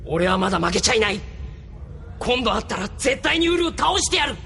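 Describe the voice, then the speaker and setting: A man's voice: high-pitched, sing-song, brisk, vocal fry, sharp tone, exaggerated voice. An actor playing a proud, bitter, hot-headed young male pilot in an anime audio drama, confrontational and heated. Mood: defiant, vengeful. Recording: some background noise.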